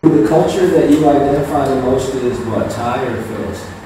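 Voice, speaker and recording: A man's voice: mid-range voice, normal speed, noisy background. A middle-aged man hosting a casual interview, asking loose follow-up questions in a small indoor room. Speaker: interviewer and channel host. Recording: echoey room, heard from a distance.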